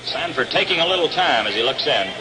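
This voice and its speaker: A man's voice: on the high side, animated, fast, noisy background. An American man calling baseball play-by-play live on an old 1960s radio broadcast. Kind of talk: live radio sports commentary. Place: open-air ballpark broadcast position.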